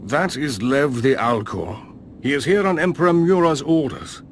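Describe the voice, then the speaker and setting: An actor playing a proud, stern old elf priest in a fantasy game, formal and haughty. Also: male voice, medium pitch, normal speed, lightly acted. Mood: solemn. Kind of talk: fantasy game cutscene acting. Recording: clearly noisy background.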